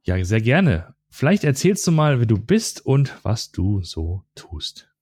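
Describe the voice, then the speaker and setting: A man hosts a tech podcast, greeting his guests and moving the talk along in an upbeat, friendly tone. His voice is dark and mellow. The recording sounds close and dry, with no room around it. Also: mid-range voice, sing-song, unhurried, husky, dry recording. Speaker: podcast host. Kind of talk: podcast hosting.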